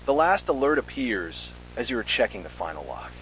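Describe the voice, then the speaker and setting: A man's voice: medium pitch, big pitch swings, a little fast, fairly bright tone, a little hiss or hum, low-quality recording. A man reading a scripted second-person horror story in a dramatic, suspenseful manner.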